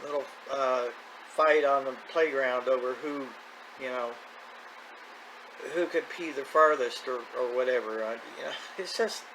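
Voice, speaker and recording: A man's voice: medium pitch, unhurried, bright, forceful tone, low-quality recording. A man in his fifties talking casually and off the cuff as a radio hobbyist, plain-spoken and folksy. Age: 50s. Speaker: radio hobbyist video host. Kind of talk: casual rambling vlog talk. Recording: clear background noise.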